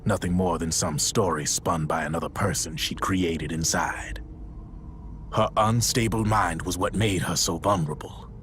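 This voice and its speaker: An actor playing a gruff middle-aged male soldier calmly recounting a grim story in a game cutscene, with a matter-of-fact manner. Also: low-pitched, moderate pace, a little hiss or hum. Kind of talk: video game cutscene acting.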